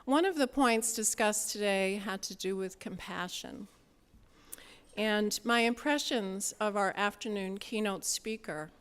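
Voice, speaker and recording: A woman giving a prepared introduction of a speaker at a conference, in a measured, formal way. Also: mid-range voice, fairly bright tone, smooth. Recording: reverberant hall sound.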